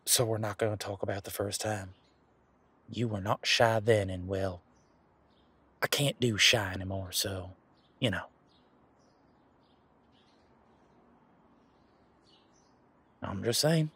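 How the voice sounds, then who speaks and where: A man playing an earnest, teasing werewolf boyfriend in an intimate roleplay audio drama, speaking casually and haltingly with a husky voice. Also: on the low side, fairly bright tone, full character voice. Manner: casual, halting, conversational with trailing pauses. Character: male werewolf boyfriend, earnest, hopeful, teasing former bodyguard.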